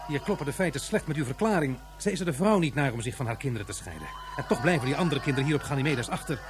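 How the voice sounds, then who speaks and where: An actor playing a reasonable, questioning male aide in a Dutch science fiction radio drama, deliberate and deferential. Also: medium pitch, expressive, close to an everyday voice. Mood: doubtful.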